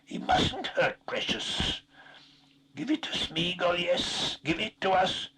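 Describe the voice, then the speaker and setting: Storybook creature: shrill, husky hiss, pitiful and spiteful, wheedling repetitions. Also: male voice, very animated, takes it slowly, pushed and bright, heavily acted, poor audio. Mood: hissing, accusing. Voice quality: hissing, whiny, breathy shrieks. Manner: repeats phrases, pleading, sibilant. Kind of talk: dramatic storybook reading.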